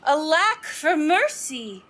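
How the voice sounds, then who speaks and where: Actress as a wide-eyed maiden daughter, high voice, slow pace, earnest, wondering, lovestruck, Shakespearean audio drama. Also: female voice, very animated, fairly bright tone, full character voice. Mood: pleading.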